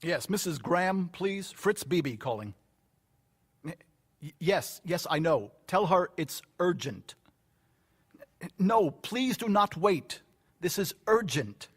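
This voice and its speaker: A courteous, worried male company executive in a radio play. His voice is husky and a little high, and his delivery stays measured and grave. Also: very animated, full character voice. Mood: urgent, polite. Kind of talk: audio drama acting.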